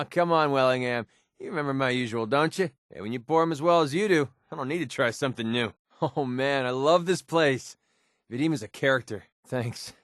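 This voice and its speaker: An actor playing a wry, world-weary young mercenary in a video game, with an American accent and a casual, sarcastic way of talking. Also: male voice, medium pitch, moderate pace, fairly bright tone, dry recording, clean studio sound, close to an everyday voice. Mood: friendly, upbeat. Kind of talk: video game character voice lines.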